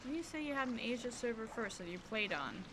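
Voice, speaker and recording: A woman's voice: on the high side, expressive, brisk, background noise. A woman chatting casually on a live stream while she plays a game, musing and often trailing off mid-thought. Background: game music and sound effects.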